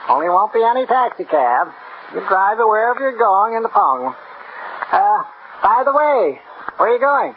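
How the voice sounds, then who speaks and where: An actor playing a nosy small-town station master with hesitant, rustic talk in an old radio drama. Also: male voice, high-pitched, very animated, normal speed. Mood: folksy, curious. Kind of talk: 1950s radio drama acting. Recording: muffled, hissy old radio recording.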